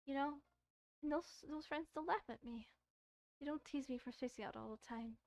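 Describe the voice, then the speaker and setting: A woman's voice: sits high, moderate pace, no room sound. A woman voicing a shy, bookish, self-doubting schoolgirl from a visual novel, hesitant and earnest. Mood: wistful, shy.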